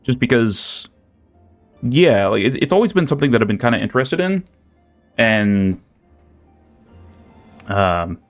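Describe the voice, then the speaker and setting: A man's voice: mid-range voice, very animated, a little slow, long pauses. A young man streaming a game, chatting casually with lots of fillers and restarts. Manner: rambling, many fillers and restarts. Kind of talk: casual chat while playing. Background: faint game music.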